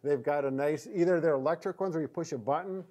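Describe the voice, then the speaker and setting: A middle-aged American man with a slightly high voice explains things in a casual, practical way. He talks conversationally, like a therapist walking someone through a demonstration. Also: quick pace, a little mellow. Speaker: physical therapist presenter. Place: indoor therapy room.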